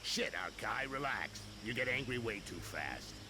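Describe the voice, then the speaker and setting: An actor voicing a confident, brotherly orc warrior who coaches in a blunt, goading way in a video game. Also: male voice, fairly low pitch, animated, a little slow, background noise. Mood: chiding, brotherly. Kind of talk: video game cutscene acting. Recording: loud music behind the voice.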